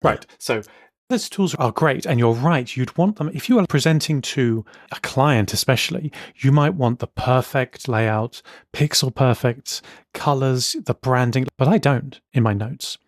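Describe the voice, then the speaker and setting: A man co-hosting a casual podcast, talking with enthusiasm and quick asides. His voice sits at a middling pitch and is recorded very dry, with no room sound. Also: no room sound. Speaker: podcast co-host. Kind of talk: casual chat.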